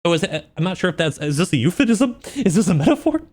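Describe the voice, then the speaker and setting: A man livestreaming in a casual, slangy, joking way, with an animated, incredulous manner as he reacts. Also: high voice, fast talker. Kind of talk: casual livestream reaction with book read-outs.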